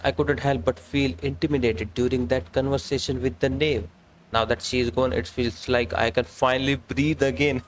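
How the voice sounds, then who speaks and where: A man's voice: medium pitch, flat delivery, moderate pace, a little hiss or hum, rough recording. A man live-streaming a game, commenting casually and reading dialogue aloud as he plays. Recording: game music behind the voice. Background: game music.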